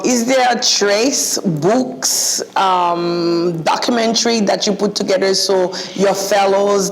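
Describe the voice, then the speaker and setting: Woman hosting, asks easy open questions, normal pitch, bit of faint noise behind. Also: vocal fry, rough recording. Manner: inviting, prompting questions. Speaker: interview host. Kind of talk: interview questions.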